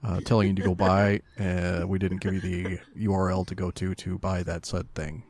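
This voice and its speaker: A man's voice: low-pitched, flat delivery, normal speed, a little mellow, no echo. Man, podcast co-host, dry wisecracks, casual chat, dead-dry close sound. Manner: dry, joking asides.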